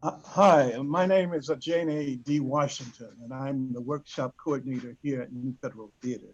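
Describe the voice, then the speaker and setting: A man giving hesitant, halting opening announcements as an event coordinator over a lo-fi video call. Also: medium pitch, fairly even intonation, husky. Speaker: workshop coordinator introducing the event. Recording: dull lo-fi video call audio.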